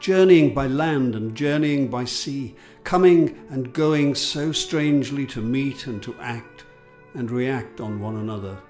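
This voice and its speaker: A man narrating classic literature from a script in a solemn, measured storytelling manner. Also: medium pitch, a little mellow, close to an everyday voice. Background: music.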